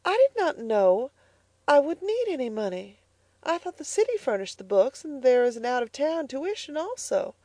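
A woman reading the part of a proud, determined young farm girl, speaking high and earnest. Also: big pitch swings, moderate pace, mellow, no room sound. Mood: pleading. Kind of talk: audiobook character voice.

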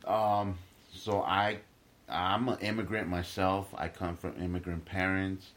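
A young man from Guatemala, a stand-up comic, talks emphatically as a podcast guest in a fairly low voice. He swears freely and stumbles into quick restarts while making his points. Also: little pitch movement, a bit bright. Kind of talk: casual podcast interview.

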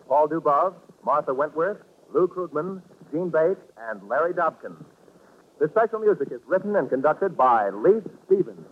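An American man announcing in a polished scripted 1940s radio style, on a tinny old recording. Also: fairly high pitch. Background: music.

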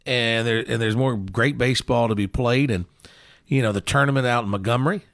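A man co-hosts a sports show in a mid-pitched voice with a gravelly edge. He talks casually and folksily, wandering into asides. The recording is dry, with very little room sound. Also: fairly quick, a bit bright, no echo.

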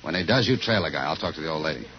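An actor playing a tough, blunt police detective in a 1950s radio crime drama, with clipped, terse delivery. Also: male voice, a bass voice, animated, very fast. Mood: brisk. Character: tough, blunt male police detective narrating his case. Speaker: actor in the lead role. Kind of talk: 1950s radio crime drama acting, hard-boiled narration. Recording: muffled vintage radio recording, loud music.

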